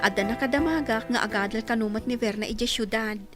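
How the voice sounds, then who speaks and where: A woman's voice: on the high side, fast talker, loud music behind the voice, natural voice. A Filipino actress playing an eager, dutiful young daughter in a radio drama, girlish and respectful.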